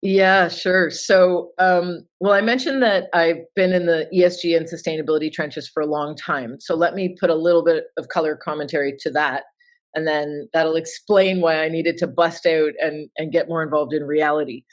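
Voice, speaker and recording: A woman's voice: deep voice, steady tone, normal speed. A woman in her forties from Toronto, giving chatty, informal answers as an interview guest over a remote call. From Toronto, Canada. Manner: chatty and informal, with filler words. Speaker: interview guest, sustainability consultant.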